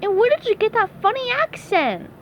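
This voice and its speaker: A woman's voice: very high-pitched, sing-song, moderate pace, pitched above the performer's own voice. A woman voicing a childlike, polite, bewildered male cartoon sea sponge in a children's storybook reading. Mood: polite, puzzled. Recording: dull, noisy recording.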